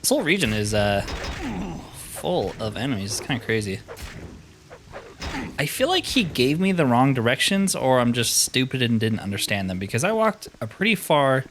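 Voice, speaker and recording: A man's voice: medium pitch, a bit bright. A man giving casual, chatty commentary while playing a roleplaying video game.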